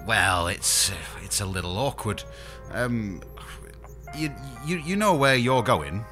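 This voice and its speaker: An actor plays a bashful space engineer in an audio comedy. He speaks slowly and hesitantly in a bright, animated voice, tripping over apologies. Light music runs underneath. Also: lowish voice, full character voice. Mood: sheepish. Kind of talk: scripted audio comedy sci-fi drama.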